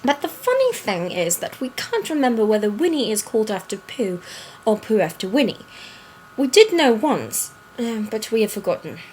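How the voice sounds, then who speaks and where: A woman playing a whimsical children's storybook narrator, reading expressively in an animated way. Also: a little high, moderate pace, a little hiss or hum, poor audio.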